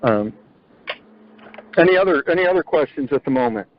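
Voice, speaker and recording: A man hosting a conference call, speaking haltingly with repeated words and many fillers. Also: on the high side, lively intonation, slight background noise. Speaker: host of an agricultural education conference call. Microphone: phone on a conference call. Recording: muffled conference-call audio.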